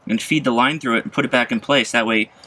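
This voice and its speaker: A man's voice: mid-range voice, fast, pushed and bright, poor audio. A man in his thirties giving a casual hands-on tutorial, thinking aloud as he works. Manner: casual, chuckling, thinking aloud while working. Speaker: DIY presenter. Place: outdoors at an open car. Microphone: camera set near the work. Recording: clear background noise, outdoor ambience.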